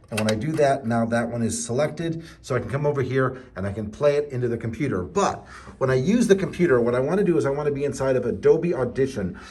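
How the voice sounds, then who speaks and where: A man's voice: medium pitch, darkish tone. A man instructing in a casual, conversational tutorial, chatty and playful, speaking straight to the viewer and voicing their imagined questions. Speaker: instructor presenting a how-to video.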